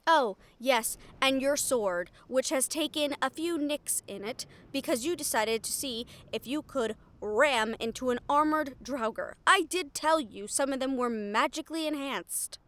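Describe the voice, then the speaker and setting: A woman playing a wry, foul-mouthed shadow thief in a fantasy audio roleplay, nervous but frank, with dramatic pauses and sighs. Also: high-pitched, a bit bright. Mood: sardonic.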